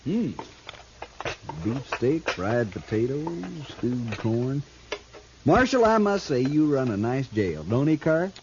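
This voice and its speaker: A cool-headed, cocky American outlaw in a radio Western. He drawls with sly, folksy mockery, his pitch very animated over a middling register. The old recording is muffled, with faint hiss. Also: takes it slowly. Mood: jovial, mocking. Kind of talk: 1950s radio drama acting. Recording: dull, hissy 1950s radio recording.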